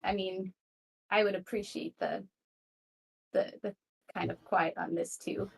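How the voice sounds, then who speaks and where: A woman's voice: mid-range voice, flat delivery, unhurried, creaky, noisy background. A woman playing a shy, evasive female assassin in an anime roleplay, speaking hesitantly with stammers.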